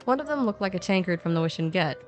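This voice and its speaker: A woman's voice: fairly low pitch, lively intonation, quick pace, muffled recording, soft background music. A woman co-narrating a fiction podcast, telling a scripted story in short, descriptive, conversational phrases.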